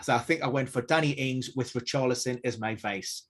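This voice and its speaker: A man giving injury news analysis on a casual live stream, measured and hedging, with many fillers and restarts. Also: medium pitch.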